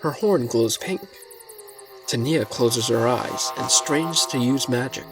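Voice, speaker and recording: A man's voice: mid-range voice, takes it slowly, creaky, low-quality recording. Male story narrator over faint music.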